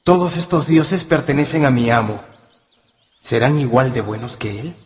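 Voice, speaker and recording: A man playing a loyal, wary wolf-dog thinking aloud in a radio drama, with a raspy voice. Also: medium pitch, animated, moderate pace, lightly acted. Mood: pensive, wondering. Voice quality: husky, raspy. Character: male wolf-dog voicing his thoughts, loyal and wary. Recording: long reverb, music behind.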